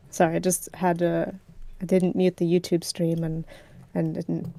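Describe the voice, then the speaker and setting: Gravelly-voiced woman, playful and dry. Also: lowish voice, monotone, warm tone, dry recording. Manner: playful, quick to laugh, chatty. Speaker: stream co-host. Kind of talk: casual chat.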